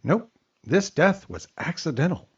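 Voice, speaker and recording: A folksy coroner, played by an actor walking the detectives through a death. His husky, higher voice rises and falls with a lot of motion, and the sound is slightly muffled. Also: big pitch swings, measured pace, full character voice. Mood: explaining. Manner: folksy, explanatory.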